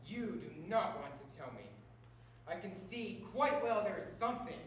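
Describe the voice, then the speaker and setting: A man's voice: sits high, animated, normal speed. An actor playing a blind, suspicious old grandfather in a stage drama, anxious and pleading. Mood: pleading, worried. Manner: pleading, insistent, swinging from murmurs to anguished cries. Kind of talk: stage-style drama acting. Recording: echoey hall from far off, noisy.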